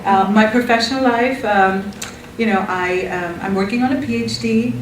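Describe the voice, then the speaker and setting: A woman's voice: mid-range voice, normal speed. A woman in her forties from Pakistan, with a Pakistani accent, chatting as an interview guest, laughing often with many ums. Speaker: guest, marriage and family therapist. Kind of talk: conversational interview. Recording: roomy, from a distance, background noise.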